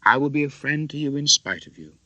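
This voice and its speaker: An oily young clerk, acted out by a male narrator in a storybook reading, fawning and self-abasing. The voice is bright and sharp, with a close, dry sound. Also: on the high side, expressive, brisk, no echo, voice pushed up, rough recording. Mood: sly, forgiving. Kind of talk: audiobook character acting.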